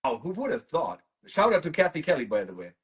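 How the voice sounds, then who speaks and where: A man's voice: on the high side, very animated, quick pace. A man hosting an interview, reading fan questions out and reacting with enthusiasm. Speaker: interviewer host. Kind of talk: casual interview.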